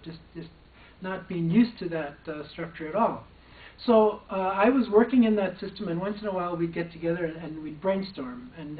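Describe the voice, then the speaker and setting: An older man, a former probation officer, recounting a personal story in a hesitant way with frequent restarts. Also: high-pitched. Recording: distant, echoey, phone-like sound.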